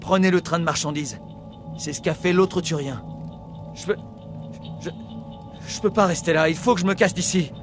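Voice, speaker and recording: An actor playing a frightened, cowardly human survivor in a video game, nervous and rambling. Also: male voice, high voice, moderate pace, pauses often, over music, lightly acted. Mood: agitated, rattled. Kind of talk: dubbed video game cutscene acting.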